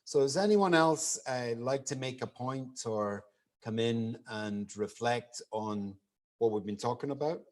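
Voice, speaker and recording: A man's voice: medium pitch, measured pace. A man moderating an online event in an informal hosting style. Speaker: webinar moderator. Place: studio for online streaming.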